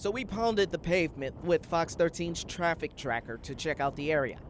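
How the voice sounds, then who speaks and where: A man's voice: on the high side, animated, moderate pace, very noisy. A man delivering a scripted TV news report as the reporter.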